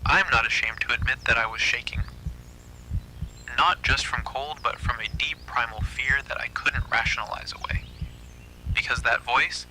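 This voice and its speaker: A man's voice: medium pitch, bright, forceful tone, poor audio. A man narrating a scripted first-person horror story.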